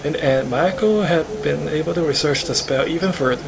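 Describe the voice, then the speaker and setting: A man reading visual novel narration aloud on a live stream, haltingly and with stumbles. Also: on the high side, vocal fry, background noise. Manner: halting, stumbling over the script.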